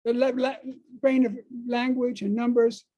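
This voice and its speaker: An older American man giving an informal talk to a group, speaking haltingly and repeating words and phrases for emphasis. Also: extremely high pitch, flat delivery, mellow, highs cut off. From United States. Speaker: speaker on dream work.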